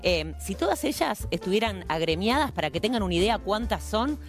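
Radio columnist: Argentine woman, conversational, mid pitch, soft music bed. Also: big pitch swings, a little fast.

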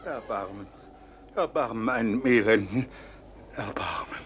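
A man's voice: on the low side, heavy background noise, close to an everyday voice. An actor playing a starved, imprisoned old father, frail and solemnly lamenting in a classic radio drama. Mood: pleading. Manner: slow, lamenting, solemn declamation.

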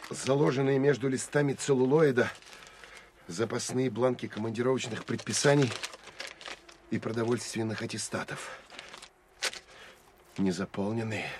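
An actor playing a terse, introspective Soviet military officer who narrates an audio drama in measured, clipped phrases. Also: male voice, on the low side, close to an everyday voice. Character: male Soviet military counterintelligence officer, terse, weary, self-critical. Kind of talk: Russian audio drama first-person narration.

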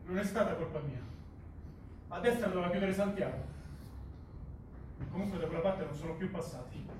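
A man's voice: high voice, moderate pace, a little mellow, slight background noise, lightly acted. A male stage actor playing a frightened but defiant young rugby player under interrogation, answering tersely and defensively. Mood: wary, defensive. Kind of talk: live stage drama acting. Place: theatre stage, indoors. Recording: very echoey theatre recording from far off.

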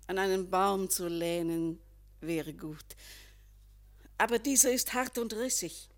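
An actress playing a weary, fearful, brooding lady in a poetic radio play, with a dramatic, halting delivery. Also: mid-range voice. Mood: weary, uneasy. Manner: dramatic, halting, drifting into breathy hush. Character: weary, fearful, brooding lady sensing sudden old age.

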